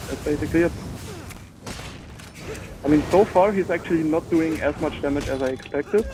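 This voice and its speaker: A man's voice: a little high, normal speed, noisy background. A man with a German accent, a native German speaker, chatting casually and commenting on his own gameplay with many filler words. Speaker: gamer.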